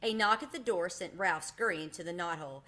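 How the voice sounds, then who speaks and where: A woman's voice: lowish voice, brisk, sharp tone. A woman, reading a children's book aloud. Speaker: storybook reader. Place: home room with bookshelves, indoors. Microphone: webcam at desk distance.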